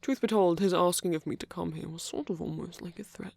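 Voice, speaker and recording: A man playing a gentle, nervous king in an intimate ASMR roleplay, speaking formally and earnestly with soft hesitations. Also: sits high, fairly quick, gravelly, dry recording. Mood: candid, hesitant. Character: gentle, nervous king confessing love to a prince.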